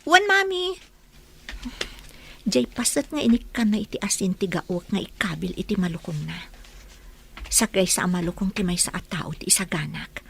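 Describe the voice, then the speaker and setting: A woman's voice: medium pitch, expressive, moderate pace, creaky, long pauses, a bit bright, a little hiss or hum. A woman acting a dutiful but resentful daughter-in-law in a radio drama, guarded, with an Ilocano accent. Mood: obedient.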